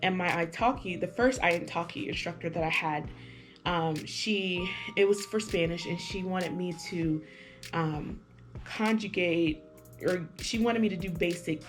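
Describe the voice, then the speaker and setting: A woman's voice: fairly low pitch, unhurried. A woman vlogger reviewing an app in casual talk to camera, chatty and hesitant, with lots of fillers and restarts. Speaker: language-learning vlogger. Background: music.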